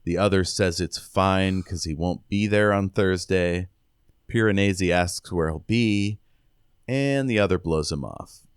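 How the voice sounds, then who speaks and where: A man with a low, clean, resonant voice co-hosts a book podcast. He recaps the story casually, paraphrasing and raising questions. The sound is dry and free of room echo. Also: measured pace, clean tone. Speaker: podcast co-host recapping a novel. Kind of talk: casual chat with read-out recaps.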